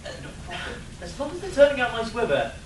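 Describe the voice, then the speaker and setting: A sarcastic, exasperated superhero sidekick played by a man from a comic radio play. He grumbles in a high, very animated voice, picked up from afar in an echoey, noisy room. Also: quick pace, far from the mic, full character voice. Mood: sarcastic grumbling. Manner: sarcastic, grumbling, stammering starts. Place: convention hall stage.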